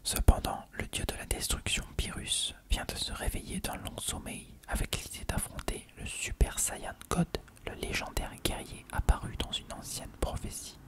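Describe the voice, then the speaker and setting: Whispering ASMR narrator, fully unvoiced. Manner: measured, explanatory, reading from text. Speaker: ASMR video host. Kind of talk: whispered ASMR reading of an encyclopedia article.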